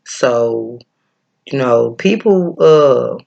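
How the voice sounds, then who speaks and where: A man with a Midwestern accent giving a casual breathing lesson, laid-back and chatty, often pausing with fillers as he searches for a name. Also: mid-range voice, lively intonation, vocal fry, a little mellow, dull audio. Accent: Midwestern American. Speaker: breathwork and yoga teacher. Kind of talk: casual spiritual teaching, rambling.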